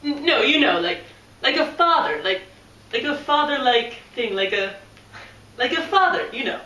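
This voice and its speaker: A woman's voice: sits high, animated, normal speed, sharp tone. A woman playing a pompous, self-absorbed male psychoanalyst in an amateur comedy skit, clinical and easily flustered. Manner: clinical, self-important, flustered stammering when challenged. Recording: roomy echo, distant microphone.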